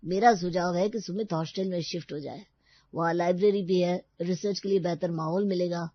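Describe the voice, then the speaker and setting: A woman's voice: fairly low pitch, fairly even intonation, brisk, dry recording, highs cut off, close to an everyday voice. A woman voicing a courteous, supportive male college professor in a cartoon story, formal and reassuring.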